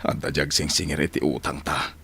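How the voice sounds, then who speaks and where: A man's voice: a bass voice, flat delivery, a little fast, creaky, sharp tone, husky, heavily acted. A man with a northern Philippine accent playing a thrifty, teasing middle-aged husband and father in a radio drama, easily flustered. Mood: flustered hushing. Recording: radio drama with faint music behind.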